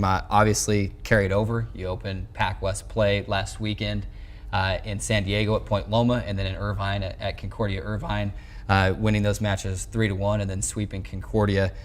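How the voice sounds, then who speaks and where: A man's voice: lowish voice, little pitch movement, moderate pace, slight background noise. A man in his twenties with an American accent, hosting a sports interview on a lapel mic. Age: 20s. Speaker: sports show host interviewing a coach. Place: small indoor locker-room set.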